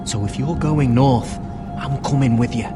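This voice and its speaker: A loyal young watchman, acted by a man, speaks frankly and plainly at a middle pitch. It sounds like dramatic fantasy game dialogue, with clear music behind him. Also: moderate pace, lightly acted. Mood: earnest. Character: loyal, plain-spoken young male watchman, would-be deserter. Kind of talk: dramatic video game acting.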